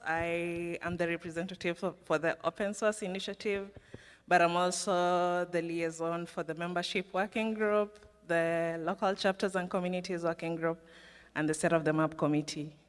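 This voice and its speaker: Board member, female, from Kenya, lowish smooth voice, hall reverb. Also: fairly even intonation, moderate pace.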